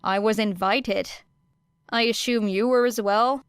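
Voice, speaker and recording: A woman's voice: a little high, steady tone, unhurried, clear, resonant tone, no echo, clean studio sound. A woman voicing a guarded teenage girl who trades sarcastic jabs. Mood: stiff. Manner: sarcastic, stiff. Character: guarded snarky teenage girl hero student. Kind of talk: audiobook character reading.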